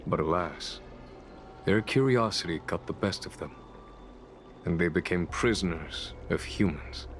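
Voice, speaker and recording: An actor playing a solemn, courtly, world-weary man in a video game, speaking formally and deliberately. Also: low voice, slight background noise. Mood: solemn, wistful. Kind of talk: video game voice acting, measured storytelling.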